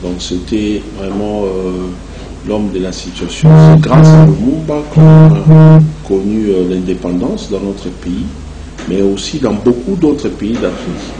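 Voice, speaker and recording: A man with a Congolese accent giving long, measured and halting answers as a guest in a television interview. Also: fairly low pitch, expressive, lo-fi sound, over loud music. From Democratic Republic of the Congo. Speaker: interview guest, entrepreneur and association president. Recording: echoey room, clear background noise.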